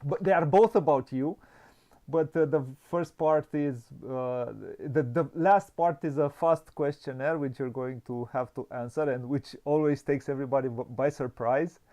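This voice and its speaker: This is a man, hosting an interview from home. He talks hesitantly, stopping and restarting often and filling gaps with "uh". His voice sits a little high for a man. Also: normal speed, warm tone. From Romania. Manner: hesitant, many fillers and restarts. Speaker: interview host. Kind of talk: casual video interview.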